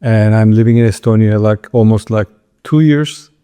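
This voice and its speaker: A man with a Turkish accent giving informal interview answers, halting and restarting his words as he goes. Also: fairly low pitch, dark tone, clean tone. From Istanbul, Turkey. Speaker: interview guest, entrepreneur.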